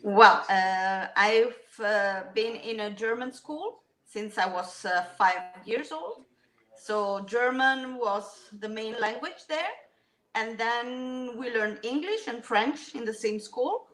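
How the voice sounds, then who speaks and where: Slow-speaking Italian woman guest. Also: on the high side, animated, a bit bright. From Italy. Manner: slow and careful, with short pauses. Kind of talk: casual conversation.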